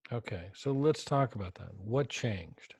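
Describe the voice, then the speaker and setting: Low-voiced chatty podcast host. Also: male voice, expressive, measured pace, creaky, darkish tone, slightly rough, no echo. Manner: friendly, chatty, hesitant fillers. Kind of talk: podcast interview.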